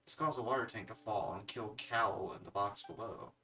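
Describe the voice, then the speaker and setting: A man's voice: lowish voice, fairly quick, gravelly, bright, forceful tone. A man streaming a video game, giving casual commentary and reading text aloud. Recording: slightly distant mic, game music behind. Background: video game music.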